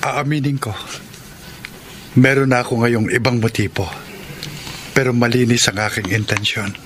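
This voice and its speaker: Sincere Filipino gentleman suitor played by an actor. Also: mid-range voice, fairly even intonation, a little hiss or hum, close to an everyday voice. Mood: earnest, tender. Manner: sincere, deliberate. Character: kind, sincere older suitor confessing love to his housemaid. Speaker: radio drama actor. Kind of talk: Filipino radio drama acting.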